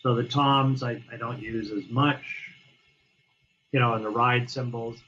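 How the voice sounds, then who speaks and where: Halting male drummer, dull lo-fi sound. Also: mid-range voice, fairly even intonation, sharp tone, slight background noise. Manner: halting, many restarts and fillers. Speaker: guest drummer. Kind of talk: casual interview talk.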